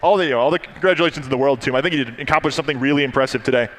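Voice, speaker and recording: A man's voice: mid-range voice, very fast, fairly bright tone. A man giving admiring, conversational analysis as a co-commentator at a live esports event. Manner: admiring, effusive. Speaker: tournament co-commentator.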